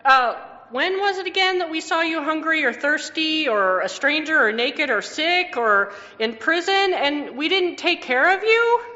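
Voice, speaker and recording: A woman's voice: high-pitched, expressive, measured pace, hardly any pauses, bright, forceful tone, voice pushed up, exaggerated voice. A woman voicing the puzzled righteous people questioning the Lord in a scripture reading. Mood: pleading. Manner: questioning, pleading. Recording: dull sound with long church reverb.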